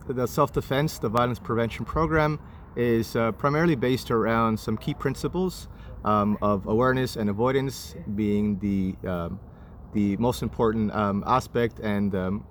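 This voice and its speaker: Low-voiced man, halting, noisy recording. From Chile, moved to Canada at eight. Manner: halting, measured, deliberate explaining. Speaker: martial arts and violence prevention instructor, guest. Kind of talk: interview answers. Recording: clearly noisy, urban traffic ambience.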